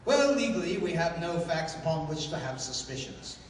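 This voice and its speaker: A man acting a formal, excitable lawyer sidekick with an exclamatory delivery in a 1930s-style radio play. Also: a little high, normal speed. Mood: inquisitive. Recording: echoey hall from far off, noisy.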